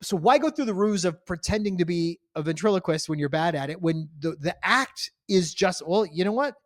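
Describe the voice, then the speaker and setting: Male podcast co-host, middle-aged, fairly high voice, wry storyteller, casual banter, dry close-miked sound. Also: expressive, fairly quick, dry recording. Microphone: close podcast microphone.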